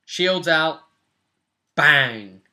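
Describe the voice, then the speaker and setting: Young guy game master recapping a session at home, bright highish voice, kinda dramatic storyteller. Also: lively intonation, very slow. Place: small furnished room at home, indoors.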